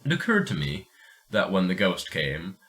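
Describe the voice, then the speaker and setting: A skeptical gentleman recounts an old ghost story in a very low voice, read aloud by a man. His phrasing is formal and measured, in the manner of a literary storybook reading. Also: huge pitch swings, exaggerated voice. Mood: measured, brooding.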